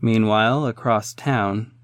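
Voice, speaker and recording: A man's voice: fairly low pitch, steady tone, takes it slowly. A man narrating an audio drama as its reflective hero, in scripted storytelling style. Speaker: narrator and protagonist.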